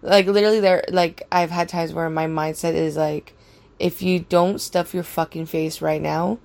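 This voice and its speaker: A young woman with a raspy voice, casually rambling through a personal story with lots of fillers and swearing. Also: fairly low pitch, fairly even intonation, normal speed, a little mellow.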